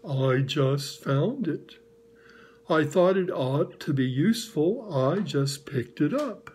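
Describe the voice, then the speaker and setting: A narrator voicing a slow, humble, simple male toy bear in a children's storybook, with halting, modest speech. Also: mid-range voice, expressive, darkish tone, a little hiss or hum.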